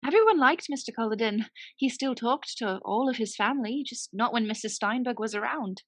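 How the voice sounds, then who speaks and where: An actress playing an excitable young gossiping servant girl in a radio play, with a gushing, theatrical, eager manner. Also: fairly high pitch, a little fast, no room sound, studio quality. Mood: gossipy.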